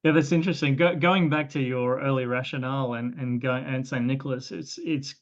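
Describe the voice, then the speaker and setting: A man's voice: mid-range voice, steady tone, a little fast. Australian male interviewer, dull lo-fi sound. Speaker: interviewer, host. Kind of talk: conversational interviewing.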